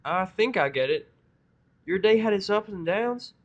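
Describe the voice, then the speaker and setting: A man's voice: high-pitched, expressive, measured pace, muffled recording, pitched above the performer's own voice. A man voicing a naive, affectionate little girl pony with a childlike, curious, eager manner. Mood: puzzled.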